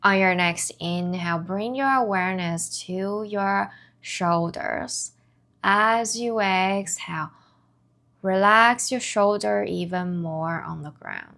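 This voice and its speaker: A woman leading a guided body scan meditation as an instructor, giving soothing, measured instructions in short phrases with pauses between them. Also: medium pitch, vocal fry, pushed and bright. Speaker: meditation and somatic movement instructor.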